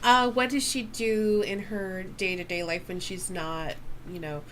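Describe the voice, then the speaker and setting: Bright-voiced woman running a game. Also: medium pitch, fairly even intonation, a little slow, a little hiss or hum, low-quality recording. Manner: inquisitive, prompting questions. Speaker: game master. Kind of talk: casual tabletop role-play chat.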